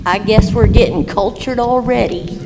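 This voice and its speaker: A woman's voice: fairly high pitch, moderate pace, noisy background, natural voice. A woman playing an eager, mischievous young twin in an old-time radio stage play. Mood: proud. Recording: echoey hall from stage.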